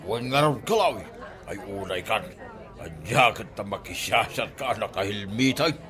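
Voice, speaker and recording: A man's voice: lowish voice, lively intonation, moderate pace, crowd noise, close to an everyday voice. A man playing a teasing friend in a comedy drama. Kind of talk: Ilocano comedy drama acting.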